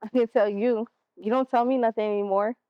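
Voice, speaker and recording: A woman's voice: a little high, fairly even intonation, a little fast, no echo, muffled recording, close to an everyday voice. A woman with an American accent playing a defiant, sullen sixteen-year-old daughter in a stage play, blunt and sulky. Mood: resentful, guarded.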